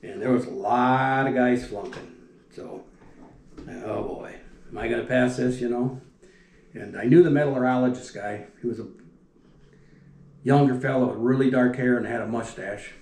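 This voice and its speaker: An older man, a retired factory welder, telling plant stories in a folksy, rambling way that often drops off quietly. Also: medium pitch, moderate pace, pauses often. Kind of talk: casual storytelling. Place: dining room at a wooden table. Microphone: camera across the table. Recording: echoey room.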